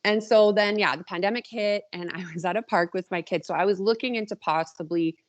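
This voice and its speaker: A woman's voice: mid-range voice, a little fast, lo-fi sound. A woman guest chatting informally and telling anecdotes, often acting out her own thoughts as she goes. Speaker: podcast guest, indie romance author. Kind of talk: casual chat, telling anecdotes.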